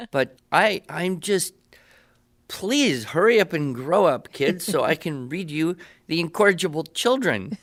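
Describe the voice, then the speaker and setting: An older man hosting a podcast conversation, speaking reflectively with halting phrasing. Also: on the high side, lively intonation, a bit bright. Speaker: podcast host. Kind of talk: conversational interview.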